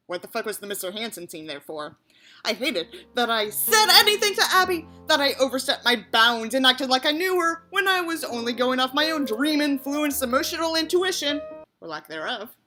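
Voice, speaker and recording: A woman narrates a story in a high, bright voice. Her delivery is very animated, and she is openly sarcastic about what she reads, swearing freely. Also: normal speed, faint music behind. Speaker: audiobook reader and commentator. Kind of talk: dramatic narration with sarcastic asides.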